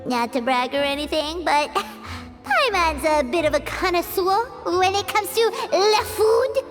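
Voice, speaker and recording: Paimon, tiny squeaky boastful sprite. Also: female voice, lively intonation, measured pace, music in the background. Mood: smug. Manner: refers to self in third person, whiny, boastful. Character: tiny childlike boastful food-loving companion sprite, female. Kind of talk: cartoonish video game voice acting.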